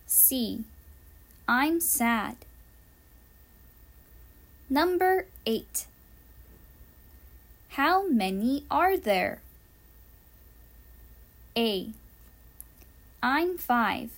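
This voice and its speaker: A woman reading an English listening quiz for learners, carefully enunciated, with pauses between the answer choices. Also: sits high, lively intonation, fairly bright tone. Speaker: English teacher.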